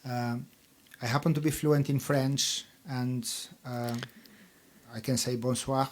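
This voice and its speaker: A man whose first language is Albanian, a charity chief executive answering radio interview questions in a measured, hesitant way with many fillers. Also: mid-range voice, little pitch movement, slight background noise.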